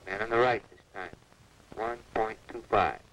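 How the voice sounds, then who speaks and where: A man's voice: lowish voice, moderate pace, noisy background. A man working as a firing range instructor, calling out short commands on 1960s film sound.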